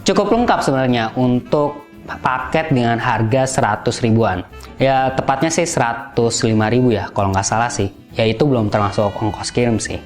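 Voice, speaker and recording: A man narrates a casual gadget review. His voice sits at an average pitch. Music plays behind him as he explains things in everyday, conversational wording. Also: moderate pace. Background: background music.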